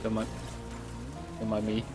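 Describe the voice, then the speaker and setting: A man's voice: fairly low pitch, completely flat delivery, measured pace, over music. A man giving casual, rambling live gameplay commentary as a game streamer, easily impressed by what happens.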